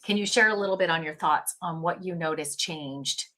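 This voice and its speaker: A woman co-hosting a live show, chatty and quick to laugh, who says she has a big booming voice. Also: bright, forceful tone. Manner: chatty, laughs easily, self-described booming voice. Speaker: podcast co-host. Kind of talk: casual live-stream chat.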